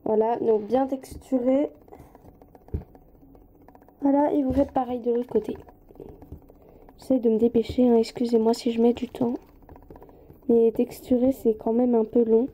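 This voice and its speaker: A woman's voice: on the high side, little pitch movement, unhurried, pauses often, mellow, a little hiss or hum. A woman with a slight head cold giving a casual hands-on craft tutorial, informal and hesitant, often apologizing as she goes.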